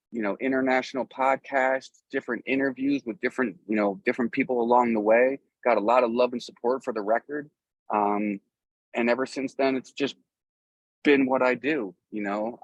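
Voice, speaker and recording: A middle-aged American man being interviewed as a musician, talking casually and earnestly in halting, rambling sentences with repeated phrases. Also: mid-range voice, slightly rough, dry recording, low-quality recording. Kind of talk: casual, rambling conversation about a music career.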